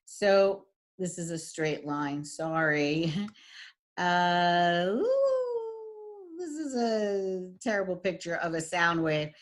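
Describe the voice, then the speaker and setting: A middle-aged woman with a husky voice, giving a recorded lecture as a voice and speech teacher, with frequent fillers. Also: lowish voice, animated, takes it slowly. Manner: demonstrates voice types, lots of fillers.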